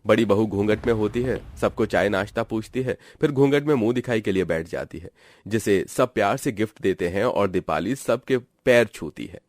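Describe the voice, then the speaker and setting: A man's voice: on the low side, moderate pace, no echo, clean studio sound. A man giving scripted narration for a Hindi cartoon family story.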